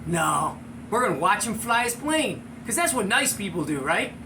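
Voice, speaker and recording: Male American storyteller, middle-aged, high voice, chatty and excitable, noisy home-style recording. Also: normal speed. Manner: excitable, slangy, chuckling storyteller. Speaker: show host and storyteller. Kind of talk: animated casual anecdote, with acted-out sound effects.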